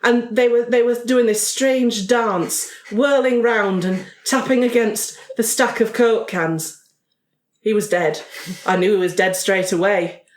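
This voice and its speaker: A woman's voice: on the high side, unhurried, close to an everyday voice. Quirky woman playing a investigator in a roomy space. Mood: matter-of-fact, musing. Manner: rambling, matter-of-fact storytelling with asides. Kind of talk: first-person improvised audio drama narration.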